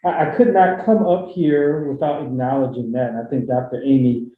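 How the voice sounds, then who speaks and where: A man's voice: mid-range voice, steady tone, normal speed. A man from Philadelphia with a Philly accent, giving a joking, filler-heavy talk on a university panel with a handheld mic. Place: university forum room. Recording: echoey lecture room, dull sound.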